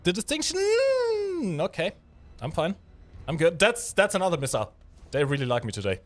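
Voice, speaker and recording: A man's voice: high voice, wildly swooping pitch, moderate pace. A man from Germany with a German accent, hosting a game livestream with casual, explanatory commentary and jokey chat.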